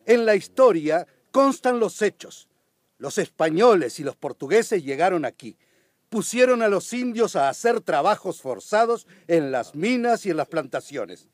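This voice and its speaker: High-voiced, impassioned prosecutor. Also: male voice, big pitch swings, a little slow, no echo, studio quality. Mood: accusing. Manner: declamatory, emphatic. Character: righteous, impassioned prosecutor accusing landowners for the poor. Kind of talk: radio drama courtroom oratory.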